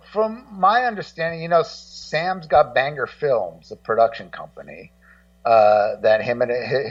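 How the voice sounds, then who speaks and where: A man chatting casually in an interview, rambling and blunt, often halting and repeating words. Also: mid-range voice, no room sound. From Los Angeles, United States. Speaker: guest co-host, documentary maker. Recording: dull, muffled, slightly distant sound.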